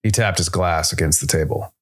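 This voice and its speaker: A man's voice: low-pitched, fairly quick. A man reading scripted science fiction noir narration as a storyteller. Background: faint music.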